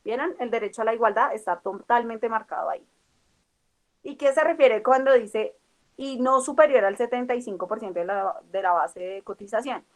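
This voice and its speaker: A woman's voice: on the high side, normal speed. A young Colombian woman with a Colombian accent teaching a class, explaining step by step and checking in with her students. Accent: Colombian Spanish. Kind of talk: classroom lecture.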